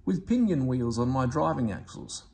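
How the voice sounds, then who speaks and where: A man voicing a small male mountain engine, calm and modest, patiently explaining. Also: mid-range voice, moderate pace, pitched above the performer's own voice.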